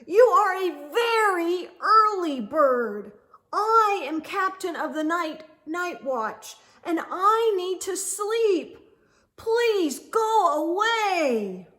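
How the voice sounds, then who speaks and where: A woman's voice: very high voice, very animated, extremely slow, fairly bright tone, voice pushed up, full character voice. A woman voicing a weary, grumpy owl knight, firm and exasperated, in a children's picture-book read-aloud.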